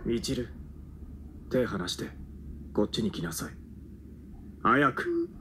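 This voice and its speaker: A man's voice: medium pitch, wildly swooping pitch, moderate pace, pushed and bright, slight background noise. An actor playing a protective, wary young father in an anime, with music underneath. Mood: stern.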